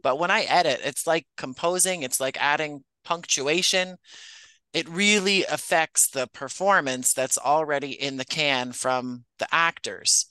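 Bright, clear-voiced man answering interview questions. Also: a little high, a little slow, no echo, poor audio. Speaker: podcast writer-producer and actor, interview guest.

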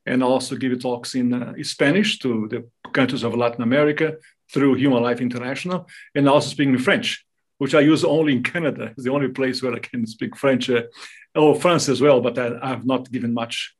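Brazilian guy with portuguese as first language, interview guest explaining stuff, medium pitched voice. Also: fairly even intonation, fairly quick, slightly rough. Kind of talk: interview talk, explaining devotional topics.

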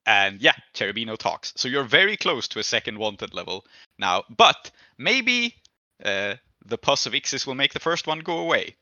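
A man's voice: medium pitch, very animated, moderate pace, sharp tone, dry recording, highs cut off. A man acting as game master, narrating casually and informally to the players, with frequent affirmations and some swearing.

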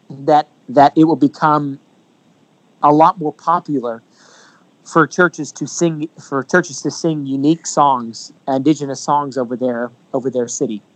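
A man's voice: on the high side, no echo, low-quality recording. A man who is a worship leader, answering interview questions earnestly and explaining his points with homely examples.

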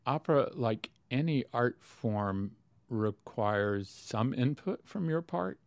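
A man's voice: mid-range voice, animated, slow pace, no echo, clean studio sound. A man answering interview questions about opera in a thoughtful way, with many fillers and restarts. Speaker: opera expert guest.

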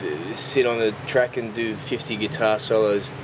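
An Australian man in his early thirties talks in a fairly low voice. He is informal and rambling, peppering his interview answers with filler phrases. Clear background noise runs under the recording. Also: fairly even intonation, normal speed. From Newcastle, Australia. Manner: informal, rambling, frequent "like" and "you know". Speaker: musician, interview guest. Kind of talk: casual conversational interview.

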